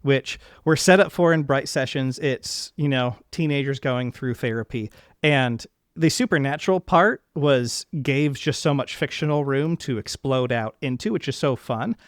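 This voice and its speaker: A man hosts an interview podcast in an easy conversational style. His voice sits at a middle pitch, and he talks in an animated way, dropping into imagined lines as examples. The sound is dry, with very little room. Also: normal speed, no room sound.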